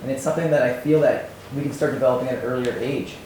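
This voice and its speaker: A slightly hoarse man, a PE teacher and coach, informally presenting course options to a school assembly. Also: mid-range voice, rapid-fire, darkish tone. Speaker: PE department head, teacher and coach. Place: large echoey school gathering space. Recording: echoey hall, distant, faint background noise.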